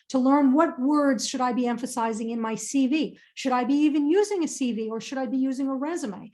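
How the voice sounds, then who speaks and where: A woman's voice: high-pitched, darkish tone. A woman presenting a career webinar and answering audience questions in an upbeat, emphatic way, often repeating phrases for stress.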